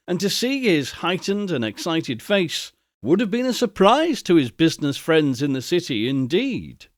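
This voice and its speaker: A man giving an expressive, measured dramatic reading of a literary story as narrator. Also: on the high side, no room sound, studio quality.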